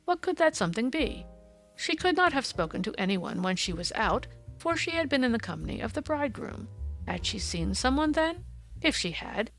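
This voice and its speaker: A woman voicing a brisk, courteous Victorian male detective in an audiobook, precise, formal and explanatory in manner. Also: very high-pitched, big pitch swings, vocal fry, a bit bright, faint music behind, exaggerated voice. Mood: explanatory, assured.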